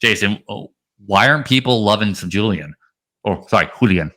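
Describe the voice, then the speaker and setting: A man with a lowish voice and a forceful, bright edge co-hosts a fantasy baseball show. He talks through his analysis casually and loosely, stressing the points that matter to him. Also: animated, moderate pace. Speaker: podcast co-host.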